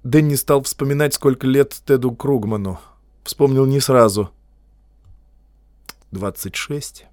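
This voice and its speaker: A man narrating an audiobook in an even, measured reading voice. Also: medium pitch, no echo, studio quality. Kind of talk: scripted narration.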